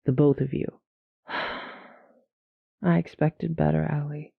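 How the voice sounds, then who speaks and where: A woman playing a stern, sceptical, guarded family authority in an audio roleplay, measured and curtly reproving. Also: low voice, fairly even intonation, vocal fry, breathy edge, no echo, narrow, tinny audio, full character voice. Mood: stern, disappointed.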